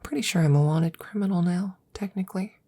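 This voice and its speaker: Devoted, worried female scientist, played by a woman, close-mic ASMR drama: lowish, mellow voice, soft whispering, rambling and tender toward the listener. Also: brisk. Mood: nervous, sheepish. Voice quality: breathy, often whispering. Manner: hesitant, rambling, gently reassuring. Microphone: close microphone.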